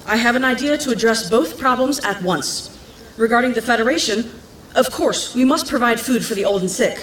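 Woman as an earnest young villager, high-voiced. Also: reverberant, lightly acted. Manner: earnest, persuasive speechmaking. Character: earnest, eager young female villager with bold ideas. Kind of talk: dramatic fantasy audio drama acting.

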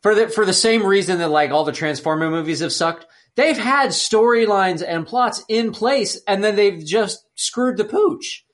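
A man's voice: sits high, moderate pace, hardly any pauses. A man co-hosting a podcast, chatting casually in a blunt, slangy way. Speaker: podcast co-host. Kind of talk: casual opinionated chat.

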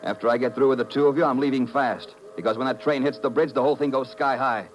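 A man's voice: mid-range voice, fast, soft background music, close to an everyday voice. A man playing a curt, threatening gunman saboteur in a 1950s radio drama, on a noisy old recording. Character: menacing male saboteur holding captives at gunpoint.

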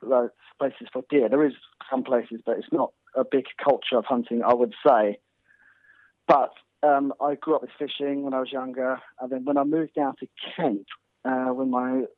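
A man from North Wales talks through a thin, phone-like line with no room around him. His voice sits at an ordinary pitch. He rambles and restarts often as he chats in an interview. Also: dry recording. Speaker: guest hunter and filmmaker. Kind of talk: casual interview chat.

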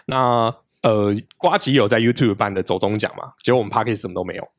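A man, a podcast guest explaining his show in a steady, explanatory way. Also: fairly low pitch, fast talker, no room sound, studio quality. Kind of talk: casual interview talk.

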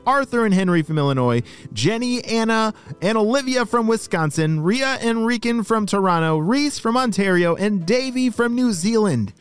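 A man hosting a children's story podcast, narrating in an animated, exclamatory way and speaking straight to young listeners. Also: sits high, smooth.

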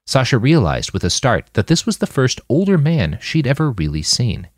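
A man's voice: mid-range voice, big pitch swings, normal speed, no echo, studio quality. A man reading scripted fiction as an audiobook narrator.

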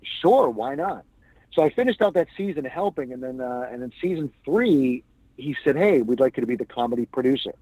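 A male comedian from New England tells anecdotes in an easy, conversational way. His voice is mellow and warm, and the recording sounds dry and close to the mic. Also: medium pitch, lively intonation, brisk, slightly rough, no room sound, rough recording. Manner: chatty anecdotal storyteller. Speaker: stand-up comedian and guest. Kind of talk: casual storytelling interview.

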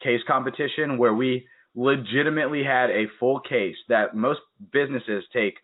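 A man's voice: medium pitch, moderate pace. A young man hosting a podcast, chatting energetically and acting out stories with quoted asides. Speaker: podcast host. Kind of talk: casual interview chat. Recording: tinny phone-like call audio.

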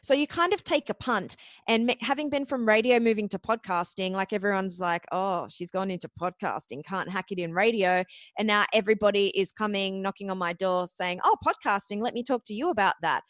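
A woman's voice: mid-range voice, a bit bright, dry recording. Chatty Australian woman, dry close sound. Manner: chatty, quick storytelling. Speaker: podcast guest, media producer. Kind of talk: casual interview chat.

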